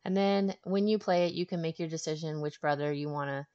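Low-pitched woman hosting game walkthrough. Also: a little fast, warm tone, clear, resonant tone, dull audio. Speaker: walkthrough host. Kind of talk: casual game walkthrough commentary.

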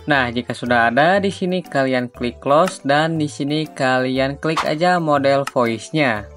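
A man's voice: mid-range voice, a little slow, fairly bright tone. Man giving casual tutorial over music. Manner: informal, repetitive step-by-step instructing. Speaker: tutorial host. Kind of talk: casual screen-recorded software tutorial. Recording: music behind the voice. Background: background music.

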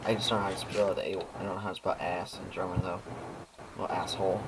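A man's voice: deep voice, level tone, fast talker. A young man chatting casually and swearing through banter while playing a game. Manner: profane, bantering. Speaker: gaming video creator. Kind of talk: casual chat while gaming. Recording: noisy home gaming recording. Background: clear background noise.

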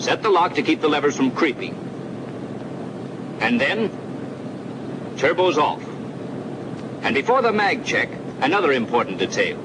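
A man's voice: fairly high pitch, very animated, measured pace, long pauses. A man narrating a 1940s military training film in scripted second person, explaining procedures in an instructive way. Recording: old film soundtrack with engine drone. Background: aircraft engine noise.

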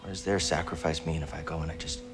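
An actor playing a weary, determined young warrior in exile, earnest and brooding, in a video game cutscene. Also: male voice, rumbling low, little pitch movement, fast, music in the background. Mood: resolute, pained.

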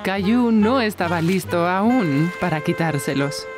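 A woman's voice: lowish voice, lively intonation, unhurried, music in the background. A woman narrating a scripted story for young children.

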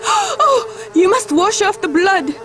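A woman's voice: extremely high pitch, sing-song, slow pace, fairly bright tone, exaggerated voice. An actress voicing a frail, grieving old village woman who trembles and cackles as she speaks. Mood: haunted. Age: older.

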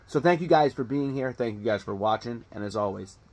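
A man in his thirties hosting a casual toy collecting vlog in a relaxed, chatty way with plenty of fillers, filmed at home on a camera held at arm's length. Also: medium pitch, a little fast. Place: home toy room, in front of green screen.

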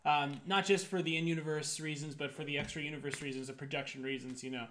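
A man in his thirties with a fairly high voice gives his opinions as co-host of a movie review. The talk is casual and unscripted, like a conversation with a fellow host. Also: fairly even intonation, fast talker, fairly bright tone, a little hiss or hum. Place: indoor room, seated before a projection screen.

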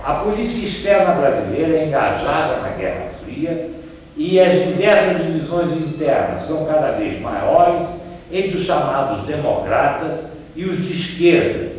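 An older Brazilian man giving a seated, conversational history lecture, pausing at times to search for names. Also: a little high, lively intonation, a little mellow, recorded from a distance, a little hiss or hum. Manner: conversational, gestures as he talks, trails off searching for names. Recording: echoey room, voice from off-mic.

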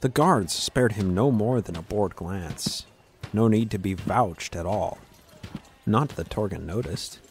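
A man's voice: lowish voice, measured pace, slight background noise. A man giving a scripted narration of a fantasy story as its narrator.

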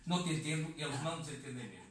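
A man in his fifties with a European Portuguese accent, giving a halting classroom lecture full of fillers, recorded from across the room. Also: on the high side, animated. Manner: halting, many fillers and restarts. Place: community classroom with seated audience. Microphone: far camera in the room. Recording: echoey room, voice from a distance.

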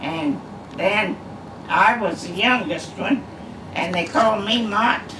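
Elderly great-grandmother, unhurried rambling storyteller; roomy, distant home recording with background hum. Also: mid-range voice. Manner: slow, rambling storytelling with many uhs. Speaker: great-grandmother telling family stories. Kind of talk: casual reminiscing. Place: living room at home, seated in armchair. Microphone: camera across the room. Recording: echoey room, far camera, background hum.

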